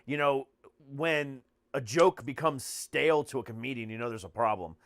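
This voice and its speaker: A man's voice: medium pitch, moderate pace, creaky, a bit bright, dry recording, clean studio sound. An American man hosting a podcast in casual chat, speaking bluntly and swearing freely. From United States. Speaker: podcast host and comedian.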